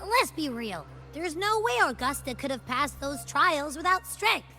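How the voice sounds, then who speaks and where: A woman's voice: sits high, lively intonation, measured pace, bright, forceful tone, soft background music, full character voice. A woman voicing a perky, candid little companion in a fantasy video game, chirpy and casual in manner. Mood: frank, perky. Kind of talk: animated video game dialogue.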